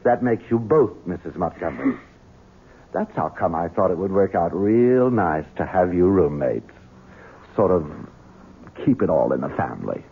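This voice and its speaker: A man's voice: low voice, lively intonation, normal speed. An actor playing a cruel, scheming son who mocks his victims in a radio drama. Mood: mocking. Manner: smooth, mocking, sly. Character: scheming sinister grown son, cruel, smug. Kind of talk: 1970s radio drama acting. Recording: phone-like old radio broadcast, faint hiss.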